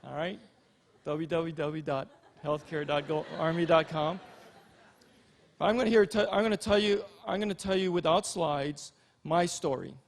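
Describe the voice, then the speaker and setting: Man, middle-aged, slightly high-pitched. Informal keynote speaker, folksy storyteller, pauses often mid-thought. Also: steady tone. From Hawaii. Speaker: Army general and physician, keynote speaker. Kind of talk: informal keynote speech with personal stories.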